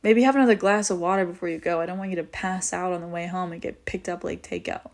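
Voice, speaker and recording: A woman's voice: fairly low pitch, steady tone, quick pace, close to an everyday voice. Wry, teasing young vampire woman, acted by a woman. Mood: brisk, wry. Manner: casual, wry, teasing, swearing freely. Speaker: audio roleplay voice actor. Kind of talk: intimate scripted audio roleplay, conversational.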